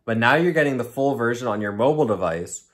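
A man's voice: mid-range voice, animated, quick pace, dry recording. A young man hosting a fishing vlog, chatting upbeat about his gear and spots from an open boat. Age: young adult. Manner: upbeat and chatty, talking through tackle and gear. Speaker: fishing channel host. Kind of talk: casual fishing vlog narration. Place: open boat on the sea and a room indoors.

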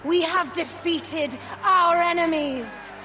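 Imperious young queen, game oratory; drawn-out, declamatory delivery over swelling music. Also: very high voice, crowd noise. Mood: regal triumph. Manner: grand, declamatory. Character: imperious, triumphant young queen of ancient Egypt. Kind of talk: dramatic video game oratory. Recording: prominent dramatic music behind.

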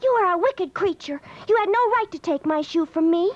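A man doing a squeaky, spirited little girl. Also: lively intonation, fairly quick, muffled recording. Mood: indignant. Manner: high, bouncy childlike delivery.

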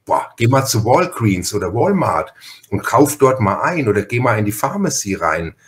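A man's voice: lowish voice, sing-song, moderate pace. A man chatting as a podcast host in an effusive, long-winded, personal way. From Franconia, Germany. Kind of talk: conversational interview chat.

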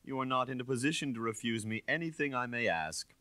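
Man acting smooth, menacing shabby ex-detective, bright-edged. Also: mid-range voice, expressive, quick pace, dry recording, voice pushed up. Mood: coolly menacing. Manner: condescending, smoothly persuasive.

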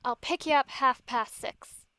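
A woman's voice: sits high, unhurried, bright, forceful tone, clear, resonant tone, no room sound. A woman voicing a glum, reluctant young teenage girl in a comic fandub. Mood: matter-of-fact.

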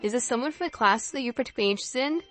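A woman voicing a polite, thoughtful, inquisitive young engineer in a visual novel, with formal, measured phrasing. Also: on the high side, a bit bright, faint music behind, close to an everyday voice. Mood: pleasant, curious. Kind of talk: visual novel character dialogue.

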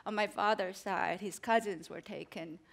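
A woman's voice: mid-range voice, steady tone, moderate pace, creaky. Korean-accented woman, earnest and reflective. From South Korea. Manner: earnest, reflective, gesturing with her hands. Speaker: journalist guest. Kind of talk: onstage interview. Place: theater stage before a live audience. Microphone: headset mic.

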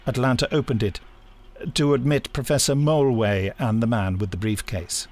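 A man's voice: medium pitch, steady tone, normal speed, a little mellow. A man reading a scripted adventure story as a dramatic audiobook narrator.

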